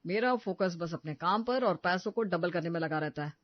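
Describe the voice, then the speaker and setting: A man's voice: sits high, expressive, a little fast, no echo. Brusque money-minded husband, acted by a man, muffled. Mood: smug. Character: self-absorbed, money-obsessed husband, brusque and dismissive. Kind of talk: dramatised dialogue in an audio story.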